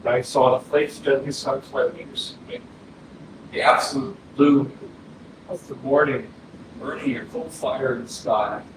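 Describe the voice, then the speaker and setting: A man's voice: medium pitch, fairly even intonation, unhurried, close to an everyday voice. Male actor as a dead youth, poetic stage monologue, mid-range voice, reverberant room. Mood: wistful. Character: dead young man recalling his own death. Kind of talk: staged reading, poetic narration. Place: indoor arts center stage, recorded from afar. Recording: distant, echoey hall with clear noise.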